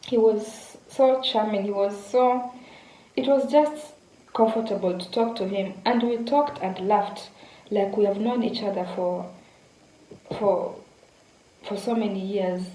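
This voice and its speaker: A woman's voice: medium pitch, fairly even intonation, takes it slowly, warm tone, a little hiss or hum. Chatty Kenyan-born woman in echoey room. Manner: chatty, repeats words, addresses viewers directly. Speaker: vlogger. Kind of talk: casual storytelling to viewers.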